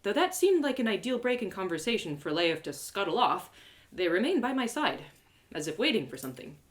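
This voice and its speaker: A woman reading a first-person fantasy story aloud in an expressive, theatrical and lyrical way. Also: mid-range voice, a little fast.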